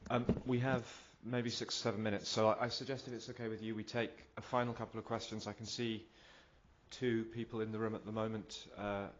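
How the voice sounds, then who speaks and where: A man chairing the question session at a talk, polite and brisk as he manages the time. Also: on the low side, level tone, a little hiss or hum. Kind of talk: moderating questions. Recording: muffled recording, voice far from mic.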